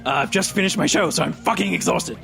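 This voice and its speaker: A man's voice: fairly high pitch, lively intonation, fast, creaky, fairly bright tone, full character voice. A man playing a flustered, tired radio host in improvised tabletop roleplay, stammering and repeating himself as he rambles. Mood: weary, scattered. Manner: stammering, repeating words, scatterbrained. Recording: music bed under the voice.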